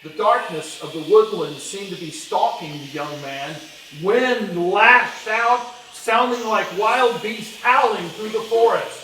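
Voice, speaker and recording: A man plays an old-fashioned storyteller on stage. He narrates descriptively and dramatically in a fairly high voice, picked up at a distance in a roomy space with faint noise. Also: takes it slowly, bright, forceful tone, full character voice. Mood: suspenseful. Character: male storyteller narrating an old winter tale. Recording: echoey hall, far mic, faint noise.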